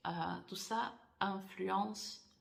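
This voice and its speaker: A woman whose first language is Portuguese, talking casually and thoughtfully to camera, with many pauses, fillers and restarts. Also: mid-range voice, flat delivery, vocal fry, a bit bright. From Angola. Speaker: author. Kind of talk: casual personal vlog.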